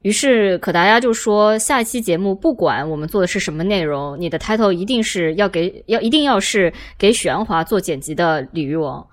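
A woman's voice: medium pitch, lively intonation, fairly quick, few pauses. A woman co-hosting a podcast, speaking in casual conversation with her co-host and guest.

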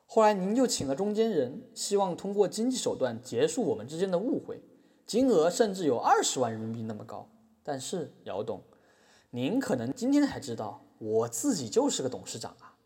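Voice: medium pitch, sing-song, moderate pace, dark tone, close to an everyday voice. A performer playing a flippant artist activist, mocking and playful, in a scripted audio drama. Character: cheeky, flippant artist activist taunting a company boss.

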